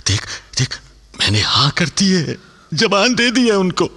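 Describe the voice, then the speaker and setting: In a long, ringing reverb, an old Punjabi father in a radio drama, anxious and imploring. His voice is creaky and slow, heavy with emotion, and he repeats himself as he begs. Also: high voice, sing-song, pushed and bright, exaggerated voice. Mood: desperate begging. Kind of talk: Hindi radio play acting.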